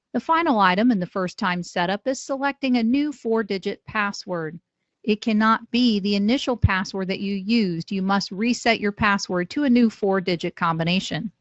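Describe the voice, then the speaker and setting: Woman, instructional narration, step-by-step explainer, mid-pitched, slightly muffled sound. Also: no echo. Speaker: software trainer.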